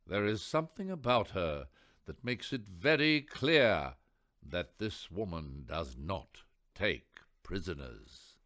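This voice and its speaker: A man narrating a radio play in a wry, theatrical storyteller's manner with deliberate emphasis. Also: deep voice, lively intonation, a bit bright.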